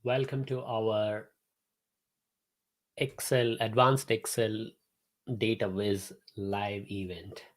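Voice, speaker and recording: A man's voice: fairly low pitch, level tone, slow, pauses often, rough recording. A man, hosting a live-streamed class from his home office, chatting to viewers with many fillers and restarts. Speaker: host and instructor.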